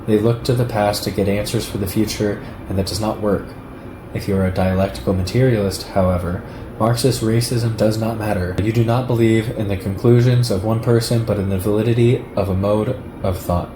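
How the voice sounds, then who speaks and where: Low male narrator, measured political lecture read from text, roomy sound with noise behind. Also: fairly even intonation. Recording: echoey room with clear background noise.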